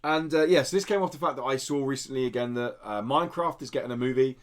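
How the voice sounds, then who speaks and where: Male podcast host, mid-pitched, informal group chat about gaming. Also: fast talker.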